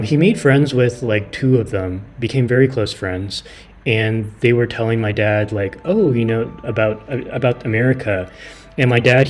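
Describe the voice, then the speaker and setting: Male gaming streamer from California, native Mandarin speaker, casual rambling chat, soft game music behind. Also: mid-range voice. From California, near San Francisco. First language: Mandarin Chinese. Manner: rambling, many fillers and restarts.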